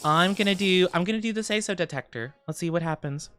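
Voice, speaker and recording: A woman's voice: on the low side, fast, fairly bright tone, faint music behind. A woman streamer casually chatting with her viewers from her home streaming room, playful and teasing as she answers chat.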